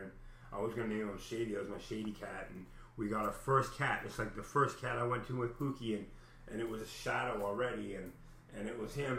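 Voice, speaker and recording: A man's voice: lowish voice, fairly bright tone, slight background noise. A man with a big voice telling a personal story in a rambling, agitated, heavily profane and casual way. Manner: profane, heavy swearing, agitated retelling. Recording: echoey room.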